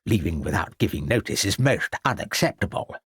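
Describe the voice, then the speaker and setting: A man's voice: low-pitched, big pitch swings, brisk, fairly bright tone, breathy edge, dry recording, studio quality, exaggerated voice. A man voicing a fussy, flustered elderly headmaster who stammers and speaks pompously.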